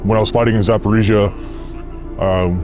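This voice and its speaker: A man's voice: lowish voice, little pitch movement, a little fast, over music. A man, a former Marine, giving an earnest, plain-spoken interview testimony. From United States.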